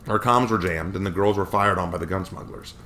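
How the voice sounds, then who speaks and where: A man reading a story aloud and voicing a steady, protective young military man close to his own deep voice. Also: fairly quick, slight background noise. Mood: earnest.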